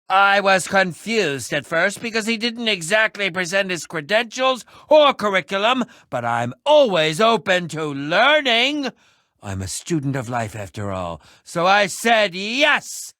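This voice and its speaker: An actor voicing an eccentric, excitable middle-aged adventurer in a video game, with a pompous, wordy, theatrical manner. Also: male voice, high-pitched, no room sound, clean studio sound, full character voice. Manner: theatrical, pompous, wordy, swinging from muttering to exclaiming. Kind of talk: animated video game voice acting.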